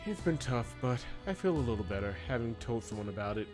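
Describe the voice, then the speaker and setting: A man's voice: mid-range voice, lively intonation, fast, music in the background, natural voice. A man voicing a timid, fragile young servant woman in a hesitant way for a visual novel. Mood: sad, subdued.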